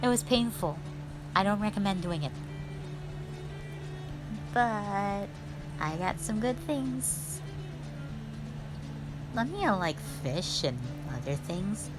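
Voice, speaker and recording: A woman's voice: mid-range voice, lively intonation, rough recording. Sassy, chatty lamia girl, voiced by a woman over music. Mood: friendly, excited. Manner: chatty, halting, blunt teasing with eager bursts. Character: sassy, cute female forest lamia, playful half-snake monster. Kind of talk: roleplay audio addressed to the listener. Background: background music.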